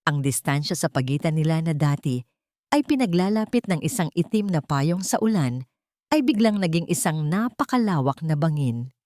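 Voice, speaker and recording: A woman with a Filipino accent narrating a scripted dramatic story in a measured, dramatic way. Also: deep voice, sing-song, darkish tone, no room sound, clean studio sound.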